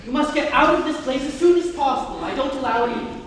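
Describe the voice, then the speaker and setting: A young man playing an exasperated, huffy young English gentleman in an amateur reading of a stage comedy. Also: very high-pitched, fast. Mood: indignant. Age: young adult. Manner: indignant, huffy. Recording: echoey hall, distant mic, faint noise.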